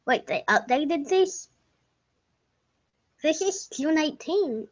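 A woman's voice: high-pitched, lively intonation, slow. A young woman giving casual, rambling commentary over a computer game, correcting herself as she goes and sometimes dropping to a whisper. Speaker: gameplay narrator. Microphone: desk microphone. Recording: dull lo-fi home mic.

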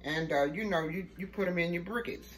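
Low-voiced woman, casual home cook. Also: brisk. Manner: folksy, often ending statements with "okay?". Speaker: home cooking show host. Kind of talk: casual cooking demonstration to camera. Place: home kitchen, later outdoors at a grill at night. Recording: noisy home video, clear background noise.

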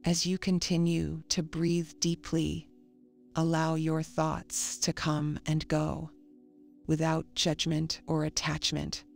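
A woman reading a scripted guided meditation in a calm, soothing, measured manner. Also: sits low, vocal fry. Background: faint music.

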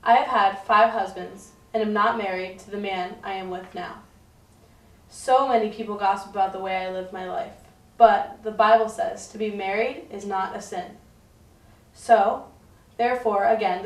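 A woman's voice: mid-range voice, steady tone, moderate pace, close to an everyday voice. A woman playing a boastful, unashamed, much-married medieval wife in a scripted puppet show monologue. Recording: echoey, distant mic, faint music.

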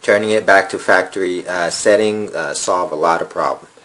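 A man's voice: low-pitched, moderate pace, poor audio. A man casually walking viewers through a phone reset step by step, with many ums and uhs. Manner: relaxed, lots of fillers. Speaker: phone repair tutorial host. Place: indoor room at a table.

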